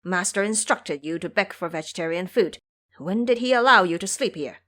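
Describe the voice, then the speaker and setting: A woman voicing an exasperated male monk disciple in an audio drama, brisk and scolding. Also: on the low side, lively intonation, fairly bright tone.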